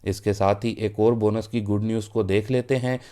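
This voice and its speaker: A man's voice: lowish voice, fairly even intonation, moderate pace, warm tone. A man presenting a news roundup for his online channel, reading the updates steadily and addressing viewers as friends. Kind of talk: read news update in Urdu.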